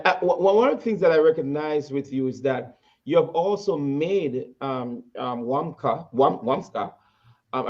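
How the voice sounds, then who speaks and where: A man's voice: mid-range voice, lo-fi sound. A man with a Jamaican accent hosting a talk show, interviewing a guest, stumbling and repeating words, laughing easily.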